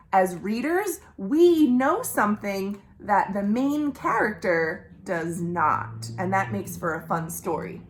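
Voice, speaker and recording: A woman reading a picture book aloud for young children as a storytime host, animated, with questions to listeners and dramatic pauses. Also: on the high side. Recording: roomy, echoing indoor sound.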